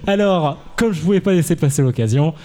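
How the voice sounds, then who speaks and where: A man's voice: on the high side, animated, fairly quick, warm tone. A man hosting a music quiz on a casual podcast, playful and laughing often. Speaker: quiz host.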